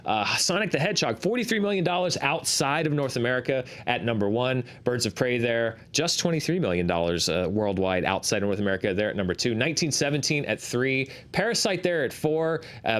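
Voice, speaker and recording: A man hosts an analysis show in a conversational style. He explains things earnestly, often stopping to rephrase or correct himself mid-thought. His pitch sits in an ordinary middle range for a man. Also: expressive, fairly quick, hardly any pauses, fairly bright tone. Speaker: host of a box office analysis show. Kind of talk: conversational box office commentary.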